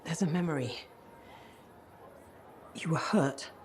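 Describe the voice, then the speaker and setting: A woman's voice: low-pitched, slow pace, slight background noise. An actress playing a confused, grieving middle-aged mother in a video game, wistful and hesitant. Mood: shaken. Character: fragile, confused, grieving older widowed mother. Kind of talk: video game dramatic acting.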